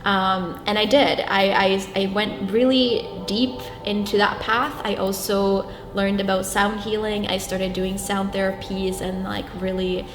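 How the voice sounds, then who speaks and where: A young woman from Brazil, whose first language is Portuguese, answers interview questions in an earnest, halting way, restarting her sentences often. Her voice sits at a middle pitch and rings around a roomy space. Music plays clearly behind her. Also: little pitch movement. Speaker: guest. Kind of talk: reflective interview talk.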